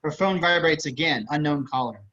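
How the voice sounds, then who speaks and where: A man's voice: a little high, a little fast, pushed and bright. A man reading stage directions as scripted narration in a screenplay table read. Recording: slightly dull video-call audio.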